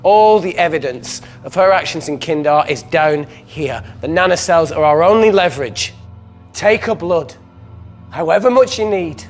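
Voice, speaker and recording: A man's voice: a little high, lively intonation, moderate pace, faint music behind, lightly acted. A man acting a cynical, blunt, cornered male colleague in a naturalistic interactive film drama. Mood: heated, urgent.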